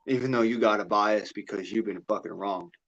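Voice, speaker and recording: A man's voice: fairly low pitch, a little fast, creaky, fairly bright tone. The sort of blunt, folksy American guy holding forth on a late livestream, muffled through a cheap setup. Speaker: livestream host. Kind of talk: rambling casual monologue. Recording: dull, lo-fi audio.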